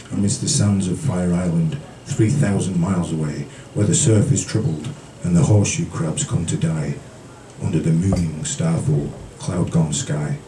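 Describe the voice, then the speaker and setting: A man from the British Isles reading poetry live at a café event in a measured storytelling manner, with brief casual asides. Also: low-pitched, dark tone. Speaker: featured poet. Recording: roomy, distant, noisy live recording.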